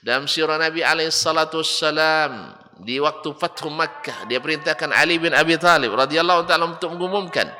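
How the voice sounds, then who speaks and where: A male Islamic preacher, with a lilt, giving a lecture and rising to loud, emphatic rebukes and mocking rhetorical questions. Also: fairly high pitch. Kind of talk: religious study lecture. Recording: reverberant hall with faint background noise.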